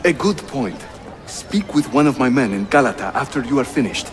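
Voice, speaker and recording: A man's voice: medium pitch, lively intonation, moderate pace, noisy background, natural voice. A man playing a friendly, practical assassin leader in a historical video game, speaking courteously. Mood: businesslike, friendly. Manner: courteous, businesslike. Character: affable, practical male assassin leader in Ottoman Constantinople.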